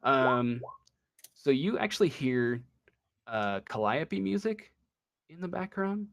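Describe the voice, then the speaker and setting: A man working as game master, improvising a tabletop role-playing story in a halting, drawn-out way. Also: medium pitch, sing-song, gravelly.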